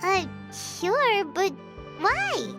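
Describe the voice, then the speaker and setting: A woman's voice: extremely high pitch, sing-song, drawn-out words, music in the background, heavily acted. A woman voicing a small, childlike fairy companion in a video game, chirpy and inquisitive. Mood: startled. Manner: refers to self in third person, inquisitive.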